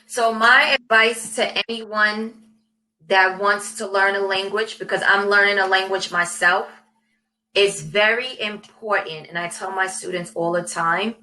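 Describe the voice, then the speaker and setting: A young woman from New York City talks as an online English tutor over a video call. Her tone is bright and clear, and she tends to repeat phrases in a friendly, measured way. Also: medium pitch, steady tone, rough recording. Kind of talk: casual video-call conversation.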